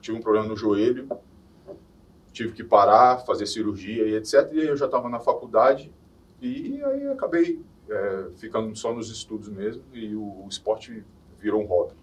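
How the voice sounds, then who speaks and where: A man in his forties, from Minas Gerais in Brazil, chatting easily as a podcast guest and often letting his sentences trail off softly. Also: fairly low pitch, normal speed. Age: 40s. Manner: easygoing, laughs off, trails off softly. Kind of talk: casual interview chat. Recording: distant mic.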